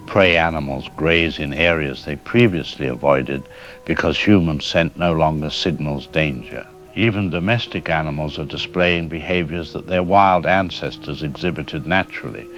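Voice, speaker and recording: A man playing a weary, resigned scientist in an apocalyptic audio drama, giving a measured, academic monologue on a dull lo-fi recording. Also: rumbling low, a bit bright. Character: weary, resigned male scientist surviving the apocalypse, solemnly analytical.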